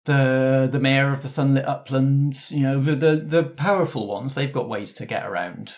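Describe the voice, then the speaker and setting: A man's voice: medium pitch, steady tone, normal speed, natural voice. Man playing a chatty ghost-train conductor. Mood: chatty, unsure. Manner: chatty, colloquial, hesitant, repeating himself. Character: plain-spoken, protective male conductor of a ghost train.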